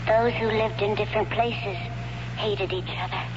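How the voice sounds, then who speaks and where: An actress playing a grieving woman giving a dying testament, solemn and deliberate, in old radio drama style. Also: mid-range voice, steady tone, natural voice. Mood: solemn sorrow. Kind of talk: 1970s radio drama acting. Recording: muffled, noisy old radio recording.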